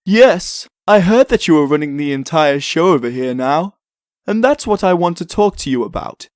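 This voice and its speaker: An actor playing a vain, scheming prince in a comic dub, suave and self-satisfied. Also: male voice, fairly high pitch, lively intonation, normal speed, no room sound, studio quality, natural voice. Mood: scheming, eager. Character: vain, smooth-talking, scheming broke prince.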